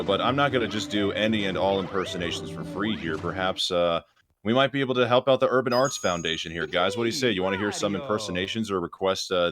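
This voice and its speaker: Man, very deep voice, joking speedrun commentator, music in the background. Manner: joking, rambling asides. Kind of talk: live stream commentary.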